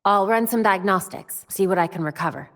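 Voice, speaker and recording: A woman's voice: mid-range voice, brisk, a bit bright, no echo, clean studio sound, close to an everyday voice. A woman playing a young masked vigilante in a video game, tech-savvy and determined, with a wry, quippy delivery. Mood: focused, wry.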